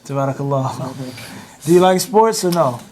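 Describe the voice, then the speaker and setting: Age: adult. Sex: man. Role: teacher. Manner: teasing, peppered with Arabic phrases. Pitch: slightly high. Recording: faint hiss. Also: expressive, a little slow, darkish tone. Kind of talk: classroom talk and lecture.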